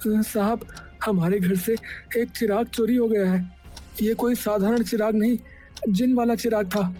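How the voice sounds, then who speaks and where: A man voicing a simple, fretful village husband in a cartoon story, haltingly repeating words. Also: high voice, steady tone, mellow. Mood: worried. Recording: music behind the voice.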